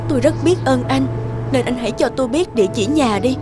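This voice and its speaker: A woman's voice: high voice, fairly quick, close to an everyday voice. A woman playing a distressed, grateful young wife and mother in a dubbed cartoon, with music behind her.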